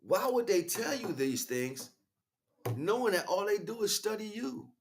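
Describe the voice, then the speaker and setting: A man's voice: high voice, sing-song, measured pace. A man improvising a spiritual lecture as a teacher, speaking emphatically, repeating key words and checking in with rhetorical questions. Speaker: spiritual teacher and livestream host.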